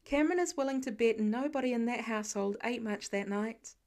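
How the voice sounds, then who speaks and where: A woman's voice: on the high side, a little fast, a little mellow. A woman reading scripted fiction as an audiobook narrator.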